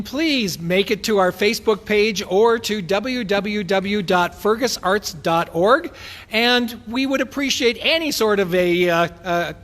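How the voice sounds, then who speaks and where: A man's voice: sits high, fairly bright tone. A man speaking as an event host, giving polite, formal and somewhat hesitant thanks to an audience. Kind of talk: live thank-you announcement to audience. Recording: reverberant hall.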